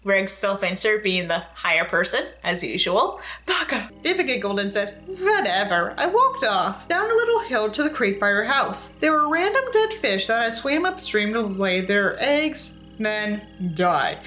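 A woman's voice: medium pitch, sing-song, unhurried, hardly any pauses, bright, forceful tone. A young woman reading a novel aloud with sarcastic, sweary commentary in a theatrical way. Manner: theatrical, acts out dialogue in raised tones. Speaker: book reviewer and host. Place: indoors in front of a tinsel backdrop.

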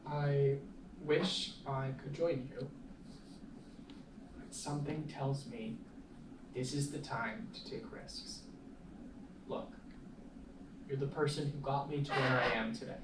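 A young man reading the part of an earnest, hesitant college student at a film table read, speaking in a halting way. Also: mid-range voice, steady tone, natural voice. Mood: reflective. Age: young adult. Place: small meeting room, indoors. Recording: echoey room, distant mic, clear noise.

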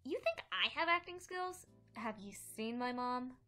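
A woman's voice: high-pitched, animated, normal speed, sharp tone, faint music behind. A woman voicing a haughty, whiny teenage cartoon unicorn princess with a dramatic, bossy delivery. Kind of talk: fan cartoon voice acting.

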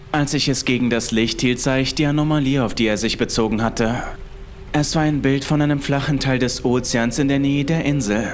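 A man reading a scripted first-person horror story as its narrator. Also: medium pitch. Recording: music behind the voice. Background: background music.

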